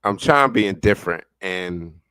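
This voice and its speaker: A man's voice: sits low, lively intonation, normal speed, pushed and bright, smooth, dry recording. A man giving casual, rambling, slangy reaction commentary with an amused manner. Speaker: reaction streamer.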